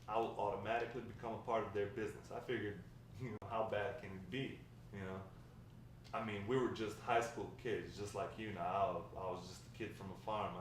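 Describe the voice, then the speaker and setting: A man playing a nostalgic, talkative Mexican-American grandfather in a table read, warm and encouraging, rambling with hesitant pauses. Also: lowish voice, natural voice. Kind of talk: amateur table read of a script. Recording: echoey room, distant mic, faint noise.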